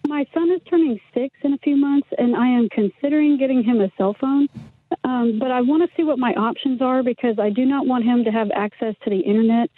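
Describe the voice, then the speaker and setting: A woman's voice: sits high, a little fast, no echo. A woman calling in to a radio show, talking casually over the telephone. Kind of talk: casual phone conversation. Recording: narrow tinny phone line.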